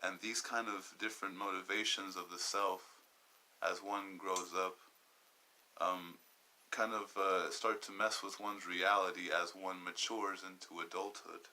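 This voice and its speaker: Low-voiced, sharp-toned young man. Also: little pitch movement, low-quality recording. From Southern California. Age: 20s. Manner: halting and wandering, searching for words. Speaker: podcast host. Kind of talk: personal podcast monologue.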